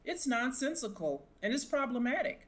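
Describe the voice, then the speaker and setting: A woman's voice: fairly high pitch, very animated, normal speed. A middle-aged woman, a retired police sergeant, giving emphatic, opinionated expert commentary in an interview.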